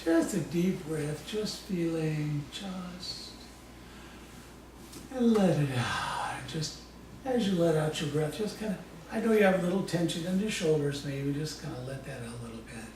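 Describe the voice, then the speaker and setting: High-voiced man guiding relaxation, very echoey room. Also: animated, a little mellow. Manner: soothing, coaxing, halting with repeated phrases; folksy, informal wording. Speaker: guest presenter demonstrating guided imagery. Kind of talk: guided imagery relaxation, spoken live. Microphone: distant microphone. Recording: echoey room from far off, faint noise.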